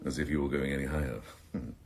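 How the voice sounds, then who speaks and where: A senior police detective in a radio play, very low in pitch and somewhat husky. He is cynical and scheming, laying on dry mock courtesy with a sneer. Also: steady tone, quick pace. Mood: scornful. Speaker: actor in radio drama. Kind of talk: 1990s radio drama acting.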